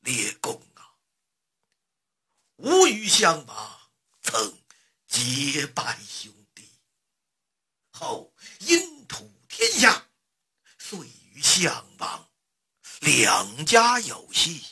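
A storyteller acting out a raspy, slow, lamenting king. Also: mid-range voice, huge pitch swings, sharp tone, voice pushed down, heavily acted. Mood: solemn grief. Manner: archaic, stately, drawn-out, lamenting. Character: grandiose grieving king, adult man, thunderous then mournful.

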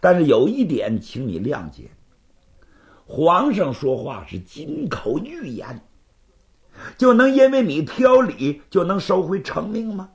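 A man's voice: on the high side, huge pitch swings, full character voice. A male storyteller voicing a courteous, composed knight-errant who reasons patiently and firmly with his challenger. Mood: patient, reasoning. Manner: measured, conciliatory, gently reasoning. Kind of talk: Chinese storytelling performance.

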